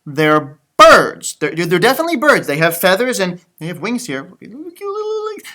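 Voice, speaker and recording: A man with a lively, swooping delivery hosts a children's storybook read-aloud. His voice sits fairly high for a man, and he talks like a teacher, exaggerating and repeating phrases for young listeners. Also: moderate pace.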